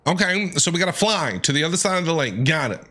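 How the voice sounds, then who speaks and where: A man giving casual, reactive gameplay commentary as a streamer, excitable and often repeating himself. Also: fairly high pitch, quick pace, over music. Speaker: game streamer.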